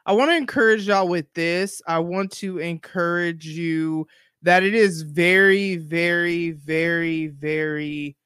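Chatty woman in her forties, smooth voice. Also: lowish voice, fairly even intonation, takes it slowly, a bit bright, no room sound, clean studio sound. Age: 40s. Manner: chatty, rambling, encouraging. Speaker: podcast host. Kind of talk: casual solo podcast chat.

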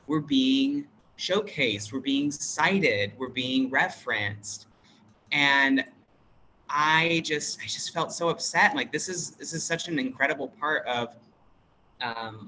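A non-binary American teacher at an international school, speaking in a relaxed interview over a video call. They talk thoughtfully and hesitantly, with many fillers and restarts. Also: mid-range voice, slight background noise, rough recording. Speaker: workshop facilitator.

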